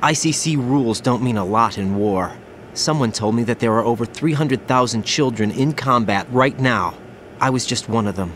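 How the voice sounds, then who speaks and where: A man's voice: medium pitch, normal speed, slight background noise, natural voice. A man playing a brooding young soldier. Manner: quiet, brooding and guarded. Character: young male soldier haunted by a child-soldier past. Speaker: game character. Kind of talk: video game cutscene dialogue. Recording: game audio with music under it.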